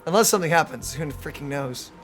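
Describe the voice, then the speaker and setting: A woman's voice: low voice, expressive, quick pace, over music. A young woman giving casual, joking and exclamatory commentary as a let's play host.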